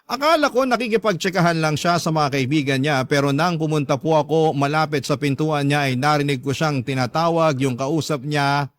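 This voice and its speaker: A man's voice: on the high side, a little fast, few pauses, no echo, clean studio sound. A man with a Filipino accent, a radio narrator reading a listener's letter in the first person as a dramatic story.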